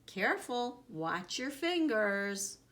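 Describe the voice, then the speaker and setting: Woman as kindly grandmother, children's storybook, bright, animated pitch, affectionate, emphatic instructions. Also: takes it slowly, heavily acted. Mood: kindly instructing. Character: kindly, lively grandmother baking with her granddaughter. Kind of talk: children's storybook character reading.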